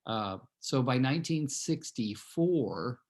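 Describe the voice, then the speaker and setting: A man's voice: mid-range voice, expressive, takes it slowly, darkish tone. Rambling sixty-something man telling a story. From California, USA. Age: 60s. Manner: rambling, conversational, many fillers and restarts. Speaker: real estate team leader. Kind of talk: storytelling talk to a team.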